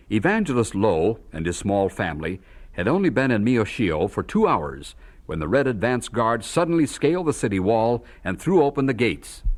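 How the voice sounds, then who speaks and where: A man delivering scripted, dramatic narration for an audio drama. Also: fairly low pitch.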